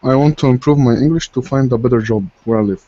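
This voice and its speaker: A man gives an eager, loud introduction in learner English. His voice is mid-pitched, and the sound is dry and a bit dull. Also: quick pace, dry recording. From Egypt. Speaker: student. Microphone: video call.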